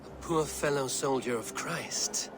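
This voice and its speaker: An actor playing a stern, blunt male Viking warrior in a game cutscene, speaking in a steady, measured way. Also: medium pitch, noisy background, close to an everyday voice. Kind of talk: video game cutscene acting.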